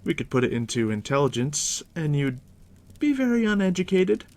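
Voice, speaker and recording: A man doing a soft, deep-whispered ASMR roleplay as a game master, unhurried and reassuring, speaking directly to the listener up close. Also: very animated. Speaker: game master guiding a new player.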